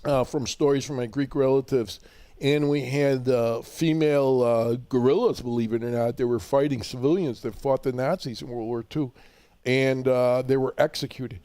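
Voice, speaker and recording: A man's voice: medium pitch, fairly even intonation, moderate pace, warm tone, no echo. A man with a Chicago accent hosting a live call-in radio shopping show, chatty and rambling, often repeating words as he starts a thought.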